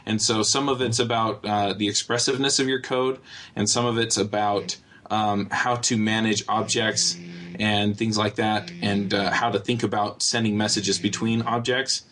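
A man hosting a casual panel podcast, talking in a relaxed, rambling way with filler words. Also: fairly low pitch, moderate pace, a little hiss or hum. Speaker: podcast host.

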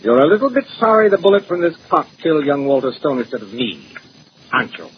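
An actor playing a suave, menacing husband in a 1940s radio thriller, sardonic and mock-affectionate. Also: male voice, a little high, expressive, fast talker, highs cut off, close to an everyday voice. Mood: mocking menace. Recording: slightly noisy, band-limited old radio recording.

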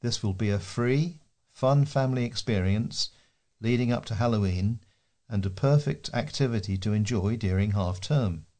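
Male volunteer newsreader, fairly low pitch, reading prepared community features. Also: darkish tone. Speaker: volunteer newsreader for a talking newspaper. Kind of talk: read-aloud scripted local news feature.

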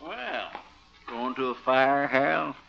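An actor playing a folksy, teasing American town marshal in a 1950s radio comedy, amused and skeptical in manner. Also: male voice, medium pitch, lively intonation, slow pace, vocal fry, soft background music. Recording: muffled old radio recording.

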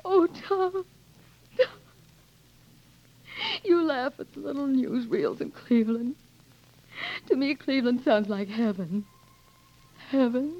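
Ardent American woman, high-voiced, vintage radio. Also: expressive, slow, pauses often, a little hiss or hum, exaggerated voice. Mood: agitated, anguished. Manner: emotional, romantic, theatrical. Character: ardent American career-woman writer in love. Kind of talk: 1940s radio drama acting. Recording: muffled 1940s radio broadcast, orchestral music.

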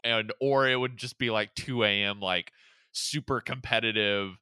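A male podcast host with a bright, clean voice in a medium register, recorded close and dry. He chats casually and rambles, doubling back on his words as he goes. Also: fairly low pitch, normal speed, clean tone, dry recording. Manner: rambling, with repeated words and self-interruptions. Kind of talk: casual chat about movies and games.

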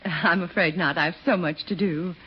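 A woman playing a poised, sensible, gently teasing lady friend in a 1940s radio comedy, genteel and composed. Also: fairly low pitch, moderate pace, husky. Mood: coy. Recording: dull, noisy vintage radio recording.

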